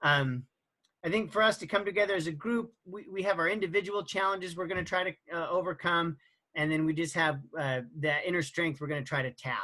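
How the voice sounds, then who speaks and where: A man in his forties, the team captain, introducing his family team in a halting way with many fillers. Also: a little high, fairly even intonation, pushed and bright, rough recording. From Idaho, USA. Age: 40s.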